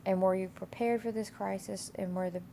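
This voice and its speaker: Stuffy-nosed woman teacher with a gravelly voice. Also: medium pitch, fairly even intonation, normal speed, dark tone, slight background noise. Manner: rambling with many fillers and restarts. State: has a cold, stuffy nose. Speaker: instructor. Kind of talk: casual screen-share course walkthrough.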